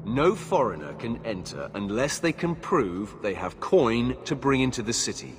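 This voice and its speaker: An actor playing a gruff, weary guard captain in a video game, blunt and dismissive with an air of authority. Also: male voice, mid-range voice, very animated, normal speed, natural voice. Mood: stern. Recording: long hall reverb, background ambience noise.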